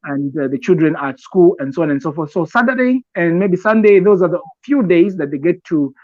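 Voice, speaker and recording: Higher-voiced Zambian man explaining haltingly. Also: expressive, a little mellow, no room sound, highs cut off. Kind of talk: conversational advice talk with personal examples.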